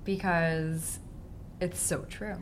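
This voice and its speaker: A woman's voice: on the low side, steady tone, very slow, a little hiss or hum. A woman with a Chicago accent hosting a casual interview, earnestly restating her point until it lands. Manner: earnest, repeats herself to make a point clear. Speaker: podcast host.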